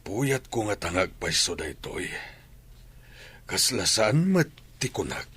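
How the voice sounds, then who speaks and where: A grumbling older father acted by a man in a radio drama. His voice is very raspy and moves up and down expressively as he worries and complains. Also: sits low, sing-song, slow pace, fairly bright tone, full character voice. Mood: uneasy. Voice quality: husky, rough. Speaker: voice actor in an audio drama.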